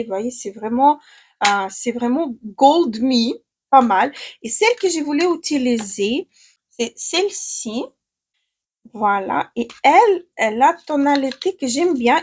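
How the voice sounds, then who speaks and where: A young woman with a Ukrainian accent talks her way through a makeup tutorial, often pausing with fillers and restarting words. Her voice sits fairly high and sounds slightly husky and breathy. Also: a little mellow. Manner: hesitant, many fillers and restarts while applying makeup. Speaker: beauty vlogger.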